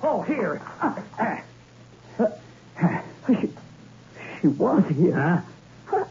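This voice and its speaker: A man's voice: high-pitched, very animated, drawn-out words, full character voice. An actor playing a troubled, tough-talking man in a 1960s radio suspense play, hesitant and stammering, with hard-boiled slang. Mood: panicked, bewildered. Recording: muffled, noisy old radio recording.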